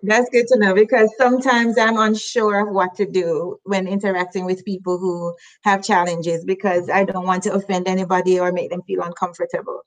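Woman host in a relaxed interview. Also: mid-range voice, brisk, few pauses, low-quality recording. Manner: conversational, reflective, laughs lightly. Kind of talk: interview conversation.

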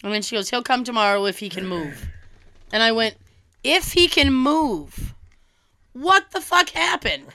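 Hoarse young woman telling a story. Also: on the high side, unhurried, pauses often, no room sound. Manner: animated, swearing, acts out quoted dialogue. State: hoarse from a cold. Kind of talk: casual storytelling chat.

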